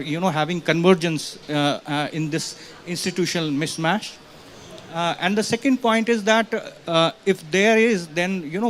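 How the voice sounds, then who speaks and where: A man with a fairly high voice puts a question from the audience at a conference panel. He speaks haltingly into a handheld mic and often drops in "you know" as he builds his point. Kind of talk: impromptu question at a panel event. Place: conference pavilion with small audience. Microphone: handheld event microphone.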